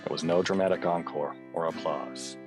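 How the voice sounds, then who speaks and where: A man playing a weary, self-serious hardboiled cop in a radio play, with deadpan noir-style delivery. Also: low voice, moderate pace, over music, lightly acted. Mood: weary, rueful.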